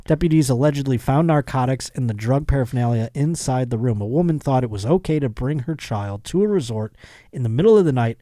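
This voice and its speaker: A man's voice: mid-range voice, lively intonation, fast talker, mellow, no echo, studio quality. A middle-aged man hosting a comedic podcast, chatting casually and reading out news with a mock-earnest, joking manner. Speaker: podcast host.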